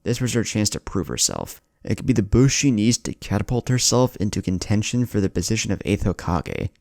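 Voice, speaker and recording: Deep, gravelly man narrating dramatically. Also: sing-song, a little fast, darkish tone, no room sound, clean studio sound. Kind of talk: dramatic scripted narration of fan fiction.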